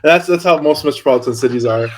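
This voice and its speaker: A man from Indiana hosting a podcast, chatty and relaxed, laughing often between remarks. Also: fairly high pitch, fast talker. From Hammond, Indiana. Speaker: podcast host. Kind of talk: casual podcast chat.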